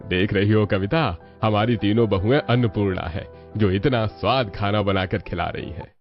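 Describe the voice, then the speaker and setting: Guy doing a middle aged cartoon dad, lowish voice, muffled lo-fi sound. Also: moderate pace, lightly acted. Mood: approving. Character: worried but approving father of three grown sons. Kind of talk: Hindi cartoon story voice acting. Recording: dull lo-fi audio over music.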